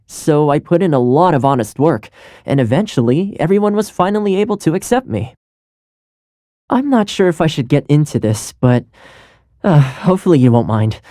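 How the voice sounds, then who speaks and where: A man voices a good-natured young retainer from a video game, with a lively, swooping delivery and a mellow tone. He is chatty and earnest, easygoing and friendly, in a close, dry recording. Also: medium pitch, no room sound, close to an everyday voice. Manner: chatty, earnest, conversational with light chuckles. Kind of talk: video game character voice lines.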